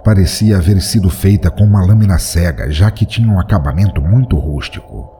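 A man narrating a first-person horror story in a measured, grave way. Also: low voice, expressive, mellow, a little hiss or hum.

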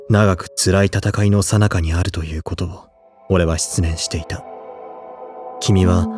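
Deep-voiced, serious teenage boy. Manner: stiff, earnest, formal. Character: serious, reserved high school boy, earnest and brooding. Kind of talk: anime audio drama dialogue and letter reading.

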